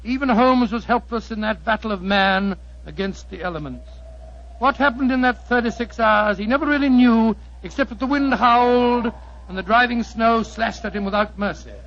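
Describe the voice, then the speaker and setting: A man's voice: extremely high pitch, normal speed, a bit bright. An actor playing an earnest English doctor narrating his friend's adventure dramatically, with a British accent, in 1940s radio style. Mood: grave. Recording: dull 1940s radio recording.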